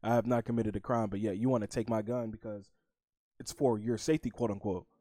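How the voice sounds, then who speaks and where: A man hosting a casual podcast chat, laid-back and a bit rambling, keeping his voice low. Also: steady tone, brisk, dark tone, no echo, studio quality. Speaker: podcast host.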